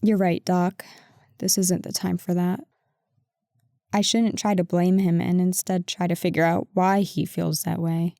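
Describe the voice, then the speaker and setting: A woman's voice: on the low side, flat delivery, unhurried, vocal fry, warm tone, no echo, studio quality. A woman playing a defensive but affectionate girlfriend in an intimate audio roleplay, conversational and sarcastic, talking to a partner who is not heard. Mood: conciliatory, hesitant. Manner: conversational, exasperated, sarcastic, addressing an unheard partner.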